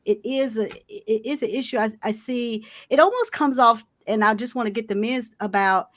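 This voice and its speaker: A woman's voice: a little high, normal speed. A woman hosting a panel discussion, asking earnest questions and often repeating words as she thinks. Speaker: host.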